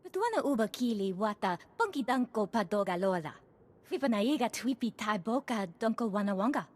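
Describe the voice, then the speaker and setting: A woman playing an alien in a video game, speaking an invented tongue with a husky voice. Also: on the high side, sing-song, brisk. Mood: animated. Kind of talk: video game alien dialogue in gibberish language.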